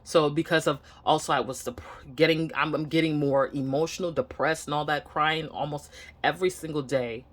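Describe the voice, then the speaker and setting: A woman's voice: low-pitched, steady tone, normal speed. Candid woman with a Nigerian accent. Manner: earnest, candid, hesitant. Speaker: vlogger. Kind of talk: casual personal update to camera. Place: home room.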